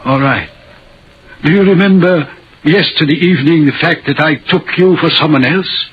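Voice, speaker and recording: An actor playing a troubled railway signalman, telling his tale in a halting, haunted, intense way in a 1950s radio drama style. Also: fairly high pitch, a little hiss or hum, natural voice. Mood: troubled, confiding. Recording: dull, muffled old radio recording.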